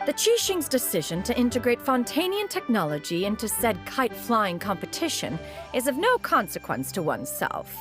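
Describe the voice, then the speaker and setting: A woman voicing an ancient, proud female adeptus who speaks in formal archaic terms and calls herself "one". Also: a little high. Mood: haughty. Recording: game audio with music.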